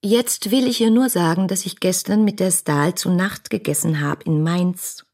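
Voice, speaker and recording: A woman's voice: lowish voice, normal speed. An actress playing a fiery, headstrong young woman reading out her adoring letters with impassioned expression in a German radio play. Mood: indignant, brisk. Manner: impassioned, expressive literary delivery.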